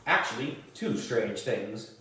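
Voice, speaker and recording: A man reading a children's book aloud as narration, in a deliberate way with the odd stumble and reread. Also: on the low side, expressive, bright, forceful tone. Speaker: volunteer reader for a book club. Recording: distant mic in echoey room.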